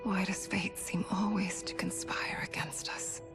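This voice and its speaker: Actress as a hushed, wistful warrior queen. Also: mid-range voice, level tone, measured pace, in a whisper, loud music behind the voice, close to an everyday voice. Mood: wistful, tender. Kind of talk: video game cutscene acting.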